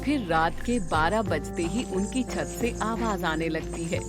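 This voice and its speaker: A woman's voice: on the low side, a little slow, music in the background. A woman narrator reading a scripted horror story for a cartoon.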